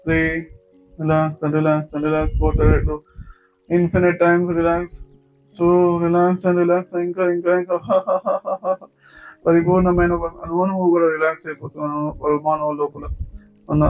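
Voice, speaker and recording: A man's voice: fairly high pitch, monotone. Soothing Telugu man guiding meditation over music. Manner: slow repetitive soothing instruction. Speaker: meditation teacher. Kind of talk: guided yoga nidra meditation. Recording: dull lo-fi sound with music. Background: background music.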